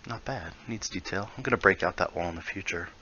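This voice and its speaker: Low-voiced man muttering through a VR tutorial. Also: fast, highs cut off, slight background noise. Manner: relaxed, thinking aloud, muttering asides. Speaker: VR building tutorial creator. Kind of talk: casual tutorial while building in VR.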